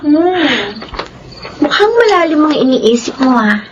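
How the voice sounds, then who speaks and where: An actress in a Filipino radio drama playing an eager, caring older sister and devoted daughter with expressive delivery. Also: female voice, sits high, measured pace, lo-fi sound, slight background noise. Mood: gentle, caring.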